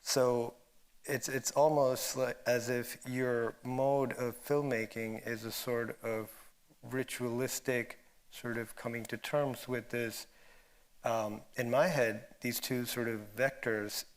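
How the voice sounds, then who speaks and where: A man moderating an academic discussion, asking long unscripted questions in a halting, thoughtful way. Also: mid-range voice, little pitch movement.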